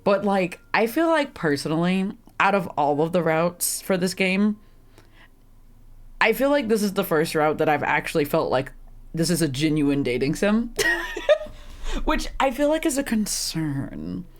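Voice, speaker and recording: A woman with a deep, rough, slightly hoarse and congested voice, rambling through casual gameplay commentary and laughing at herself often. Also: very animated, a little slow. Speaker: streamer playing a visual novel.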